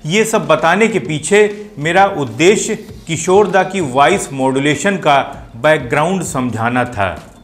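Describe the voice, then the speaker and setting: A man hosting and explaining things to viewers in video commentary. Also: on the high side. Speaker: host of a film music show. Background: music playing behind the voice.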